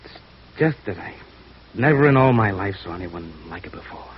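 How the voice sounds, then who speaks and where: An actor playing a lovesick, shamefaced young man in a 1950s radio drama, speaking in a halting, confessional way that swells into wistful outbursts. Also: lowish voice, lively intonation, natural voice. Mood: halting, ashamed. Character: lovesick, shamefaced young male office thief, humble and earnest. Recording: dull, noisy vintage radio recording.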